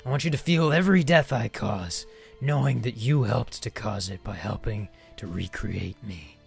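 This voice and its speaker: A man voicing a tormented, vengeful villain with a gravelly, menacing, brooding delivery. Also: on the low side, moderate pace, soft background music. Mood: vengeful menace.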